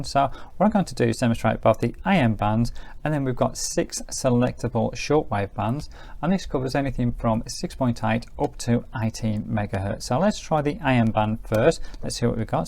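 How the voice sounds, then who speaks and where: A man's voice: mid-range voice, creaky, darkish tone. A man giving a casual hands-on product review in a methodical, explanatory manner. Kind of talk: casual hands-on gadget demonstration.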